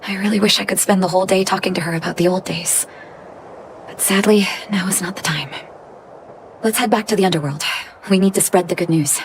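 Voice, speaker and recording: An actress playing an earnest, gentle and caring woman doctor in a video game. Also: mid-range voice, fairly quick, slight background noise, natural voice. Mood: wistful, resolved. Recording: music behind the voice. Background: music.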